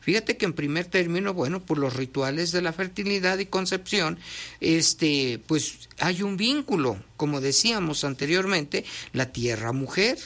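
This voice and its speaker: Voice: mid-range voice, expressive, normal speed, fairly bright tone. A speaker reads from a text and explains it patiently, like a teacher. They often stop to check that the listener is following. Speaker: guest explaining traditional midwifery. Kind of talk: conversational explanation, reading from a text and commenting.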